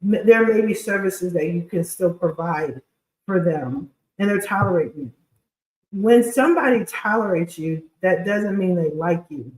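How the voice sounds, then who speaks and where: A woman's voice: on the low side, lively intonation, normal speed, reverberant. A woman hosting a casual, chatty livestream with a scratchy voice and blunt, slangy, informal talk. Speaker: podcast host and credit repair specialist.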